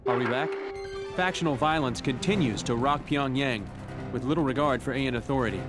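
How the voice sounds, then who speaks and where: An actor playing an urgent war news reporter in a video game, with a projected, formal announcer delivery. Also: male voice, mid-range voice, fairly quick, over music, natural voice.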